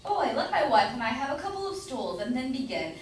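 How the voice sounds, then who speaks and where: A woman's voice: high voice, very animated, fairly quick. Girl doing a pushy blustery grocer guy in a stage play, really up and down voice, super echoey room. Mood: proud, bossy. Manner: blustering, demanding, emphatic. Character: bossy, meddling male citizen grocer, proud husband. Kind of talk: amateur staged reading of Jacobean comedy. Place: indoor room at a college, far from mic. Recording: distant echoey room, faint noise.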